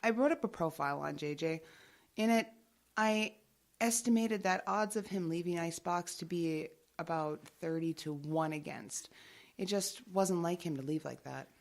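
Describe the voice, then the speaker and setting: Female, cagey undercover investigator, lowish voice, measured and businesslike, radio-play delivery. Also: gravelly. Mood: measured, explanatory. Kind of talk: audio drama acting.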